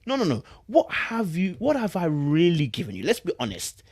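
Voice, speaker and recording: A man's voice: on the high side, huge pitch swings, moderate pace, darkish tone, husky, dry recording, clean studio sound. A British man doing casual online commentary, reading aloud dramatically and repeating phrases for emphasis in a teasing, incredulous way.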